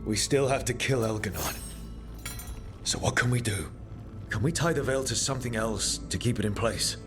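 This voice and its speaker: An actor playing a steady, determined heroic leader in a fantasy game, speaking earnestly and resolutely. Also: male voice, medium pitch, moderate pace, music in the background, natural voice. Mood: grim resolve. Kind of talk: dramatic video game acting.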